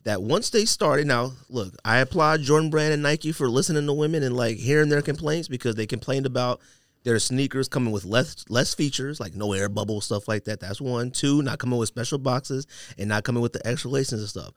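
A man's voice: medium pitch, brisk, no room sound, studio quality. A man hosting a casual podcast chat about sneakers and sports, opinionated and slangy. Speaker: podcast host. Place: small podcast studio, indoors.

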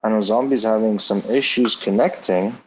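A man hosting a live online audio chat, introducing speakers and giving quick, encouraging replies. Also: lowish voice, a little mellow, breathy edge, rough recording. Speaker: host.

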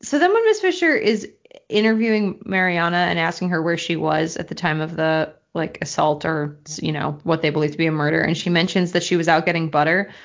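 A woman's voice: lowish voice, fairly quick. A young woman from Minnesota with a Minnesotan accent, co-hosting a podcast and chatting casually, giggly and full of fillers. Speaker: podcast co-host. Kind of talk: casual chat recapping a TV episode. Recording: dull lo-fi podcast audio.

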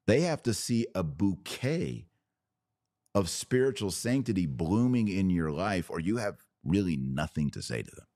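A man's voice: sits low, mellow, no room sound, studio quality. A man hosting a religious podcast, speaking straight to his viewers in an earnest, emphatic, preacherly way. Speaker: podcast host. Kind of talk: live religious commentary to viewers, with exhortation and prayer.

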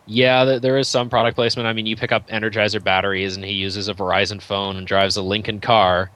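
A man's voice: on the low side, level tone, fast talker, fairly bright tone, no echo. A man in casual conversation as a co-host and reviewer on a video game podcast. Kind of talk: casual chat.

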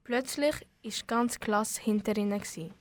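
Higher-voiced Swiss female narrator. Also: unhurried. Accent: Swiss German. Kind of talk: amateur radio play narration.

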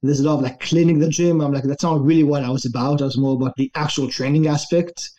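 A young adult man from Israel tells his story with an easygoing, relaxed manner. His voice sits a little high and sounds soft and mellow. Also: quick pace. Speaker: guest, online fitness coach. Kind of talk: casual interview storytelling.

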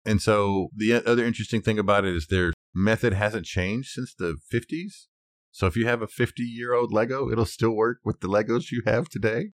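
A middle-aged man with a deep voice in a very dry-sounding recording. He co-hosts a podcast and talks informally, passing along bits of research in a loose, conversational way. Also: fairly quick, dry recording. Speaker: podcast co-host. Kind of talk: casual conversation, sharing researched facts.

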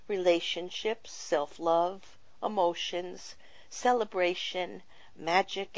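A woman hosting a spiritual talk show, speaking in a gentle, reflective and earnest way. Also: fairly low pitch, no echo, rough recording. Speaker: show host.

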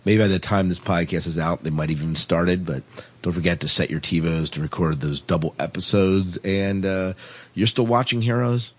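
A man's voice: deep voice, steady tone, normal speed. A man hosting a casual podcast chat, often repeating and restarting his phrases as he talks. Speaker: podcast host.

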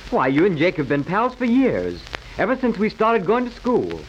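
A muffled 1940s radio recording with faint crackle, featuring an earnest American schoolboy. He is fairly high-voiced and lively, plain-spoken and loyal. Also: normal speed. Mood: puzzled.

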